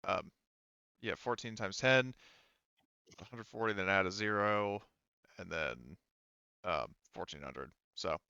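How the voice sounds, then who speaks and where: A man runs a tabletop game in casual talk. His voice is bright and smooth, and he works things out aloud in a halting way. The sound is close and dry, with a slightly dull, lo-fi edge. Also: deep voice, no room sound. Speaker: game master.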